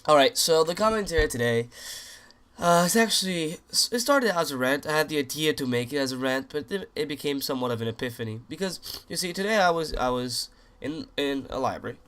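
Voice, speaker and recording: A man's voice: a little high, animated, brisk, fairly bright tone. A young man who is a little sick, rambling in crude, joking gaming commentary with many restarts. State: sick, voice sounds off. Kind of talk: casual rambling commentary over gameplay.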